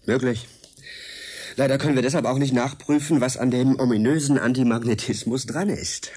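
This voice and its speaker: Actor as a husky-voiced idealistic male hacker. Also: mid-range voice, steady tone, moderate pace, a little mellow, close to an everyday voice. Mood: intrigued, sceptical. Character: excitable idealistic male computer hacker, cynical about politics. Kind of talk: naturalistic German radio drama dialogue.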